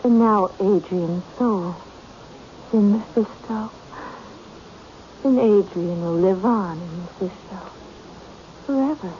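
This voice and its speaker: A woman's voice: medium pitch, takes it slowly, pauses often, warm tone, lightly acted. An actress playing a distraught, possessive woman obsessed with her cat in a radio drama. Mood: intense wonder. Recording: dull, hissy old radio recording.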